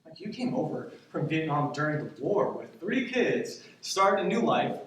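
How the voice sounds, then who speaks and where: A man in his twenties playing an anxious grandson in a stage monologue, talking in a halting, conversational, self-deprecating way. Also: a little high, sing-song. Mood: earnest, affectionate. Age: 20s. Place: stage in a theater, recorded from the audience. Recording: echoey theater, distant mic, faint noise.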